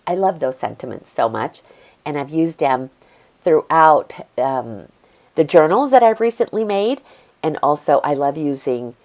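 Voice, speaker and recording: Brief: middle-aged woman, low voice, stuffy-nosed, relaxed craft tutorial host talking while she works. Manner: chatty, easygoing, explains as she works. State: congested from allergies. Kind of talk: step-by-step craft tutorial voice-over.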